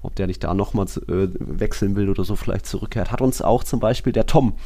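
A man with a fairly low voice hosts a casual sports podcast. He talks like an excitable fan, rambling from one thought into the next in loose, conversational German. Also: brisk. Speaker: football podcast host. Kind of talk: casual football discussion.

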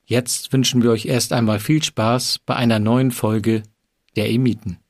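A man's voice: mid-range voice, flat delivery, unhurried. A man giving a friendly scripted introduction to an audio drama, addressing the listeners.

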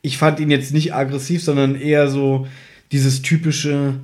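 A man's voice: medium pitch, level tone, normal speed, a little mellow. A man co-hosting a podcast, chatting casually and conversationally as he recaps an audio drama from his notes. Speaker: podcast co-host.

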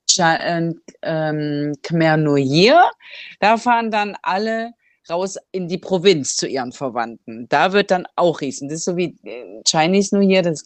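Low-voiced German woman, chatty host. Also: no echo. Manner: chatty, thinks aloud with many fillers. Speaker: podcast host. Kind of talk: casual conversation. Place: Cambodia, living abroad.

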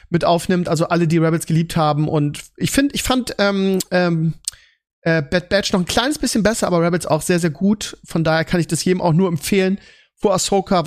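A man hosting a podcast in casual chat, often repeating words as he forms his thoughts. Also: on the high side. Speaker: podcast host. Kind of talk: casual podcast chat about series and films.

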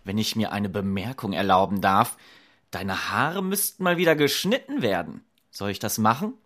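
A man's voice: on the low side, animated, moderate pace, a bit bright. A man playing a curious, eager and naive young wandering hairdresser in a fairy-tale radio play, with a boyish manner. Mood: admiring.

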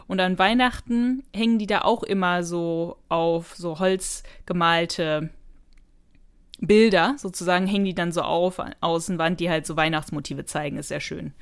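A woman's voice: fairly low pitch, fairly even intonation, moderate pace, clear, resonant tone. A woman co-hosting a podcast, talking in a chatty, informal way as she reviews an audio drama. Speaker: podcast co-host.

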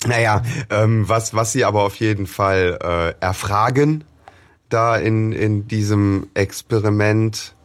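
A man's voice: lowish voice, steady tone, unhurried. A man co-hosting a podcast, chatting casually about a radio drama, who laughs often and retells scenes. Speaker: podcast co-host.

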